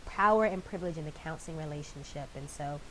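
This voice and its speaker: A woman's voice: sits low, fast talker, rough recording. A woman instructor with a head cold giving a weekly course announcement in a conversational way, with frequent filler words. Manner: warm, conversational, frequent ums. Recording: faint background hiss.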